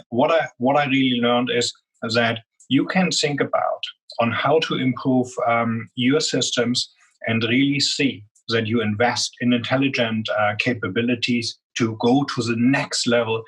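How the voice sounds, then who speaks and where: A man's voice: mid-range voice, fairly bright tone, slightly rough, rough recording. A man with a strong German accent, speaking as a guest executive in an interview and explaining with frequent "um" fillers. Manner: many "um" fillers, earnest explaining. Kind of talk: business interview.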